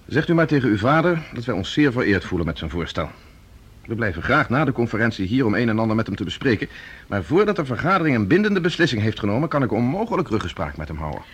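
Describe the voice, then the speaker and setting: An actor playing a cautious, sceptical and courteous conference delegate in a radio drama, formal and reserved. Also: male voice, medium pitch, sing-song, fast, husky. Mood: wary, formal.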